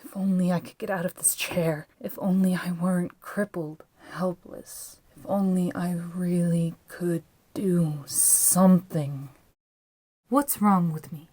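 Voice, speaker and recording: A woman's voice: fairly low pitch, fairly even intonation, slow pace, mellow. A woman playing a troubled, earnest heroine in an audio drama, hesitant and downcast in her delivery. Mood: despairing. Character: despairing wheelchair-bound female informant, doubtful yet earnest.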